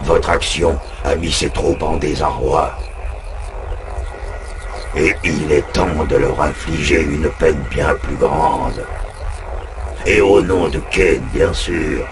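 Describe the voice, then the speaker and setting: A military computer mind with an extremely low voice. It is cold and calculating, speaking in slow, formal, emotionless phrases as in a game cutscene. Clear noise sits behind it. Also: male voice, fairly even intonation. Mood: cold, scheming. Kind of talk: video game cutscene dialogue.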